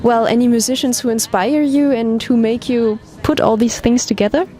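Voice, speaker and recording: A woman's voice: on the high side, fairly even intonation, moderate pace, dark tone. A woman interviewing a musician, asking questions with some hesitation and fillers. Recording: faint background noise.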